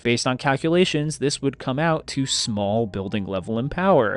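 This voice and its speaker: Guy doing scripted video commentary, really clean smooth voice, explains stuff step by step, punches key words. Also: mid-range voice. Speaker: video host, narrator.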